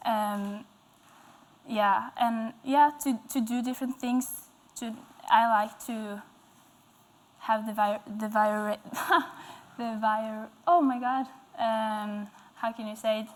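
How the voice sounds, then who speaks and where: A woman's voice: a little high, fairly even intonation, slow. A young woman musician with a Norwegian accent, a native Norwegian speaker answering interview questions hesitantly as she searches for words. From Norway, west coast. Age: young adult. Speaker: guest, musician. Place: indoor stage with dark curtains.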